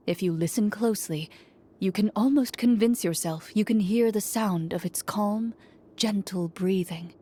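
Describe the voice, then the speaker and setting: Mellow, composed game master. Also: female voice, medium pitch, breathy edge. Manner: measured, formal. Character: composed, serious woman hunter narrating a tabletop adventure as game master. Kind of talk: video game voice acting, storytelling narration.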